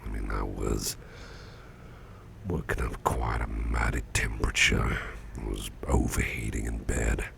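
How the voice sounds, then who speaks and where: An actor playing a sickly, gruff but genial boss in an ASMR roleplay, musing slowly and haltingly in a half-whisper close to the microphone. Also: male voice, flat delivery, a bit bright, slight background noise, full character voice. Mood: musing, uneasy. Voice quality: very deep, gravelly, breathy half-whisper. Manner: slow, halting, folksy musing with pauses.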